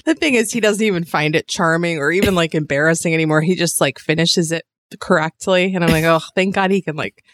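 A woman's voice: lowish voice, fairly quick, hardly any pauses. A woman co-hosting a casual recap podcast, scattered and giggly, often mixing up idioms. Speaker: podcast co-host.

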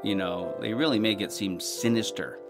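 A man co-hosting a comedy podcast, delivering ironic jokes in a deadpan, straight-faced way. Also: on the low side, normal speed, over music. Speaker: podcast co-host. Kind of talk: improvised comedy banter.

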